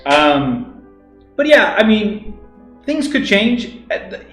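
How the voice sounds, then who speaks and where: A man's voice: sits high, sing-song, slow, a bit bright. A man co-hosting an English-teaching livestream, chatting casually and rambling with many fillers and restarts. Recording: roomy echo with faint music.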